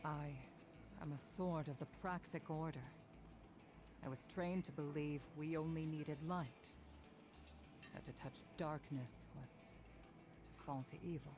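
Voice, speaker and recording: A woman playing a resolute, serious warrior mentor in a video game, speaking in a formal, deliberate, earnest way. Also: deep voice, fairly even intonation. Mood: solemn, hopeful. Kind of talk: dramatic video game dialogue. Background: prominent music.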